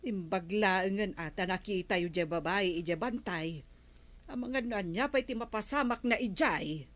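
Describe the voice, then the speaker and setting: A woman's voice: mid-range voice, normal speed, a bit bright, lightly acted. A woman playing an exasperated, scolding wife who draws out her interjections in a comedy radio drama. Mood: concerned.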